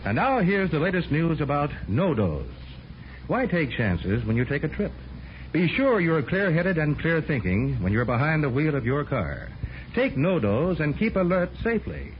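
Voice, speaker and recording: An American male announcer with a fairly high, very animated voice delivers a polished, emphatic pitch in 1950s radio style. The recording is muffled and old. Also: normal speed, slight background noise. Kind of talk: 1950s scripted radio commercial read.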